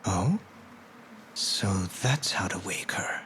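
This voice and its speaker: A man playing a cold, brooding shadow knight in a fantasy game, with grim, measured delivery. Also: fairly low pitch, slight background noise, heavily acted. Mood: subdued. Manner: deadpan threats, grim, measured. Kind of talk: dramatic fantasy game acting. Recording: game scene under loud music.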